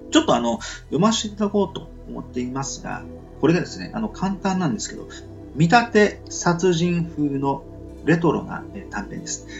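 Guy doing a laid-back solo radio show, gravelly creak, humble and kinda stumbling, soft guitar music in back. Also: mid-range voice, dull audio. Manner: halting, apologetic, self-deprecating; polite and humble. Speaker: podcast host introducing web novels. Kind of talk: casual solo radio talk.

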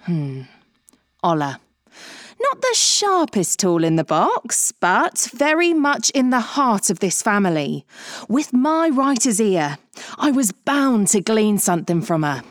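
A woman's voice: medium pitch, big pitch swings, takes it slowly, dry recording, studio quality. An actress playing an earnest, suspicious woman writer turned amateur detective, dramatic and insistent, in an audio comedy mystery. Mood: dramatic storytelling. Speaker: lead actor and first-person narrator.

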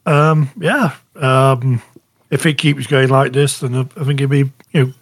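A man's voice: mid-range voice, steady tone, a little slow, no echo. A man co-hosting a podcast in casual conversation, speaking in a hesitant, hedging way. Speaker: podcast co-host. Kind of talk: casual chat.

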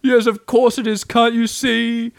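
A man voicing a gloomy, self-pitying old grey donkey from a children's storybook, drawling slowly and sarcastically. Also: extremely high pitch, flat delivery, vocal fry, no room sound, studio quality, voice pushed up, full character voice. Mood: mock cheerful. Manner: gloomy, slow, drawn-out, sarcastic.

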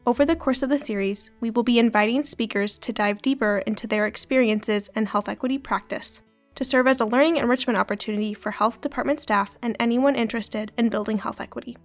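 A woman reading a scripted podcast intro in a smooth, even way. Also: fairly high pitch, brisk. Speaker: podcast announcer. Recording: faint music behind.

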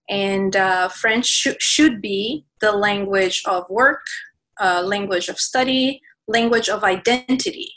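Woman, Portuguese-native, passionate interview guest who restarts her sentences; mid-pitched voice. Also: fairly bright tone, poor audio. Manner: passionate, many restarts and fillers. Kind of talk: conversational interview.

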